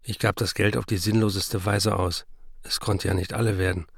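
An actor playing a troubled, self-indulgent writer in a radio play, confessing his excesses in a matter-of-fact, gravelly way. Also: low voice, level tone, moderate pace, no room sound, studio quality, exaggerated voice. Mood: rueful. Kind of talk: radio play narration and letter reading.

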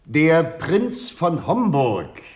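An actor playing a formal, methodical field marshal in a classical German radio play, speaking in measured, emphatic dictation. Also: male voice, fairly high pitch, wildly swooping pitch, a little mellow, exaggerated voice.

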